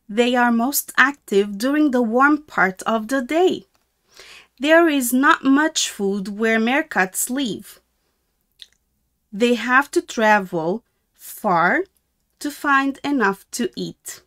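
A middle-aged woman reading a children's picture book aloud in a sing-song, deliberate way with exaggerated intonation. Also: fairly high pitch, a bit bright. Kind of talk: children's read-aloud, slow and expressive.

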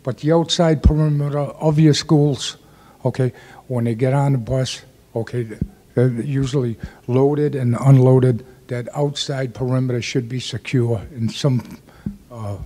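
A state representative speaking politely and in measured terms at a town meeting. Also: male voice, medium pitch, fairly even intonation, warm tone. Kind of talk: public comment at a town meeting.

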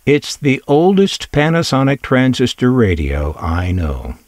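Male presenter, scripted showcase read with wry asides, animated delivery, close dry sound. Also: medium pitch, unhurried, no room sound. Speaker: presenter of vintage radio collection videos. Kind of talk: scripted narration, product showcase.

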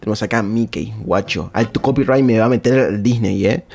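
A man's voice: lowish voice, a little fast, vocal fry, darkish tone. A man with an Argentine accent giving casual live reaction commentary, exclaiming in slang and repeating his phrases. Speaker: streamer reacting to video. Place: indoor room at streaming desk. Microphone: close desk microphone on boom arm. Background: cartoon music.

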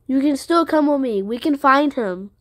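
A woman's voice: high voice, lively intonation, moderate pace, a bit bright, no echo. A woman voicing a determined, loyal hero in an amateur game cutscene, earnest in manner. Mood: concerned. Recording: music behind the voice. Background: music.

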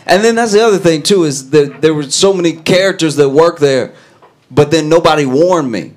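Young guy telling a wild story on a comedy club stage, kinda high voice, cracks himself up doing voices. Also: moderate pace. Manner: animated, laughing at his own story, acting out characters in low voices. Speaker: stand-up comedian. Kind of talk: stand-up storytelling. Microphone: handheld stage mic.

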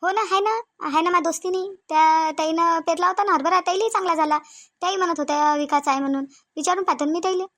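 A woman's voice: very high-pitched, fairly even intonation, fast, fairly bright tone. A woman voicing a lonely older village mother in a cartoon, nagging and repetitive, with a Varhadi Marathi accent from Vidarbha. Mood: practical, chatty. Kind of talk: cartoon family drama dialogue.

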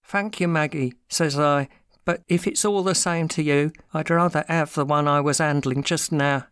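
A man performs a frightened small-time thief in an audiobook drama. The voice is smooth and fairly high for a man, recorded close and dry. He confesses in an anxious, self-pitying way. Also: fairly even intonation, normal speed, a bit bright, dry recording, close to an everyday voice. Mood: anxious recounting.

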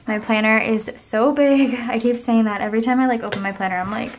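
A young woman with a voice going hoarse chats casually while she decorates her planner at a desk at home. She rambles and counts under her breath as she works. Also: on the high side, fairly even intonation, brisk, a little hiss or hum. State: losing her voice. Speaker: planner vlogger. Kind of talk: casual plan-with-me chat. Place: home desk, camera overhead.

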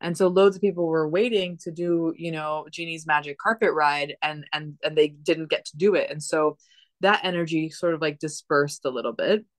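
A woman in her thirties from the Dominican Republic, a podcast guest telling stories in a chatty, animated way with lots of restarts, on a video call from her living room. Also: sits low, normal speed, no room sound. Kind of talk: casual storytelling conversation. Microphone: laptop webcam on video call.

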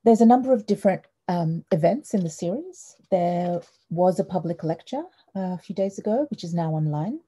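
A middle-aged woman from Australia opens an online talk over a headset on a video call, her voice low-pitched and very mellow. She speaks in a measured, welcoming way, with frequent ums. The sound is that of a small wood-panelled room. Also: animated, husky.

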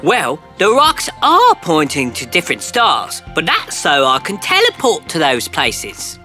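A chatty cartoon alien. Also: female voice, sits low, faint music behind, full character voice. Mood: explaining. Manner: quick, excitable, talkative. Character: friendly green alien, hurried and chatty. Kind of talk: cartoon voice acting.

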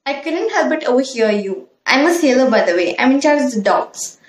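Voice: high-pitched, big pitch swings, brisk, pitched below the performer's own voice. A young performer voicing a woman sailor who talks in a fatalistic, persuasive, bleak way. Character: gloomy woman sailor who runs the docks. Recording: echoey room.

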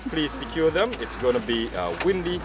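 A man's voice: a little high, big pitch swings, moderate pace. A young man working as a boat tour guide, giving a playful announced briefing to a group outdoors. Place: outdoors by water.

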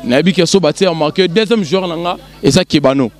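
A man with a Congolese accent answers street questions, earnest and halting. His voice sits a little high, caught on a handheld mic outdoors in a city street. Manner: earnest, repeats himself, halting. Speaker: interviewee, football fan. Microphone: reporter's handheld mic.

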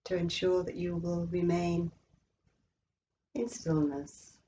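Female meditation guide, soft-edged dark timbre, slow and soothing, slightly muffled recording. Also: on the low side, flat delivery, a little hiss or hum. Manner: slow, measured, soothing delivery with long pauses. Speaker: yoga nidra instructor.

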